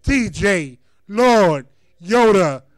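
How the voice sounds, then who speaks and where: A man's voice: sits high, expressive, drawn-out words, noisy background. A man hosting an internet radio show, announcing in a slangy hype-man style from a home studio. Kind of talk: hype announcing over DJ set. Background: faint music.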